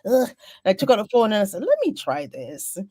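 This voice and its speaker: A woman with a Jamaican accent hosts a relaxed interview over a video call, speaking from her desk at home. Her voice sits at a middle pitch and comes through close and dry, with no room sound around it. She chimes in often with short agreements. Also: a little fast, no echo. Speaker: host. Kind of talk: casual interview chat.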